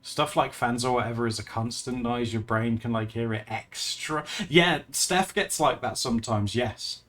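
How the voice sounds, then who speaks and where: A man's voice: mid-range voice, normal speed, fairly bright tone. A man chatting casually with his viewers during a live art stream, chatty and giggly, often laughing and restarting his sentences. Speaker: livestreamer. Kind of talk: casual livestream chat with viewers while drawing.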